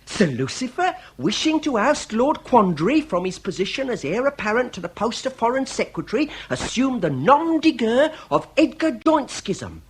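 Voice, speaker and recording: An earnest young British detective's assistant in a period radio drama. The voice sits very high, with formal, methodical phrasing and a deferential manner. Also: male voice, sing-song, normal speed, few pauses, slight background noise, heavily acted. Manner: precise, formal, methodical recounting. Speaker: actor in radio comedy drama.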